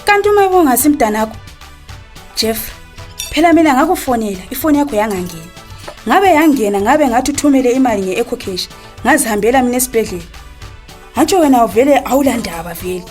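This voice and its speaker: A woman acts out a wronged Zimbabwean wife and mother in a radio drama. She speaks fast in a slightly high voice, over faint music. She sounds fed up and questions with reproach. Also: expressive, a little mellow, natural voice. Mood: probing. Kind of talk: naturalistic radio drama acting.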